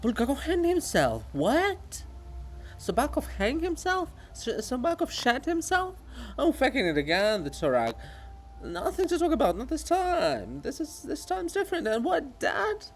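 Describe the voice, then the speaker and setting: A man voicing a mocking, excitable chorus of gossiping living monuments in a theatrical reading. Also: extremely high pitch, measured pace, pitched above the performer's own voice, full character voice. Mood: excited gossip. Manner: jeering, excitable, taunting.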